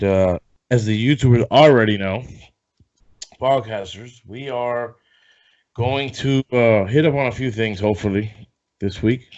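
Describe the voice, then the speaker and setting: A man hosting a casual sports podcast, rambling and loose, swearing offhand, a bit hoarse as if coming down with something. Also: lowish voice, darkish tone. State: losing his voice, feeling sick. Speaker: podcast host. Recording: dull lo-fi sound.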